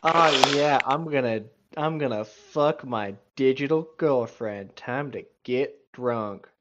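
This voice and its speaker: A man's voice: medium pitch, slow pace, heavy background noise. Mocking man, slightly muffled sound. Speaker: co-host reacting to a horror story. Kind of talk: casual reaction commentary.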